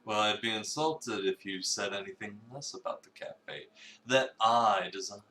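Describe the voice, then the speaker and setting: A man's voice: on the low side, measured pace, bright, forceful tone, slight background noise. A man playing a grandly theatrical, suave cafe owner in a visual novel reading, with pompous, formal phrasing. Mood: cryptic, amused. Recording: roomy, echoey sound.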